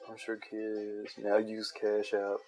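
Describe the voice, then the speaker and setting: Male streamer-artist, very low soothing voice, relaxed rambling chat. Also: takes it slowly, faint music behind. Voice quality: deep, soothing voice. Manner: laid-back, loose and chatty, with laughs and restarts. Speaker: artist drawing a comic on a livestream. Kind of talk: casual rambling chat while drawing.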